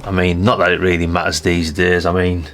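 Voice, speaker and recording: A man's voice: deep voice, level tone, fairly quick, a bit bright, slight background noise. A British man giving a casual hands-on showcase as a video host, chatty and rambling with frequent tag questions. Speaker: host of a radio hobby channel.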